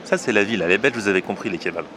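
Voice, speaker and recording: A man's voice: on the low side, racing, noisy background. A man talking casually and informally to camera as a vlog host, explaining things as he goes. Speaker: food vlogger and host. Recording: loud music under the voice.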